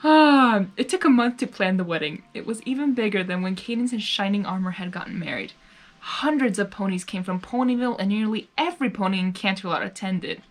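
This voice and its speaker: A woman hosting and narrating a live story reading in an expressive, giggly manner, reacting aloud as she goes. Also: mid-range voice, brisk. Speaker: fan fiction reader and host.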